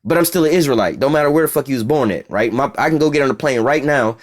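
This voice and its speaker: A man's voice: mid-range voice, lively intonation, fast. A man from the United States with an American accent, giving an informal, profane bible teaching and keeps asking "all right?".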